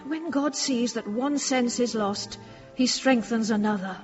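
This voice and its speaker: Actress as a high, fluttery church housekeeper. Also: female voice, unhurried, soft background music, close to an everyday voice. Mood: chatty, deferential. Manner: flustered, polite, chatty. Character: fussy, deferential, pious English church housekeeper. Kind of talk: period radio drama acting. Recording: reverberant church, slightly dull sound.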